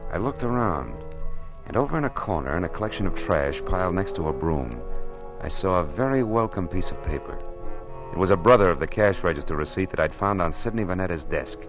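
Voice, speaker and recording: An actor playing a wisecracking hardboiled private detective in an old radio drama. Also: male voice, low-pitched, low-quality recording. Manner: dry, wisecracking, laconic. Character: hardboiled male private detective, wry and cool. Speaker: narrator and lead. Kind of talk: 1950s radio acting.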